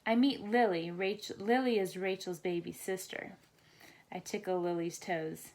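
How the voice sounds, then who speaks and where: A woman narrating a children's bedtime story in deliberate, short, simple phrases. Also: on the low side, steady tone, darkish tone. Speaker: storybook reader.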